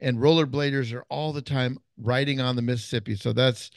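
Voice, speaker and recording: A man's voice: medium pitch, steady tone, fairly quick, creaky, no room sound, clean studio sound. A man hosting a cooking podcast with enthusiastic, exclamatory energy. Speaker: podcast host, chef.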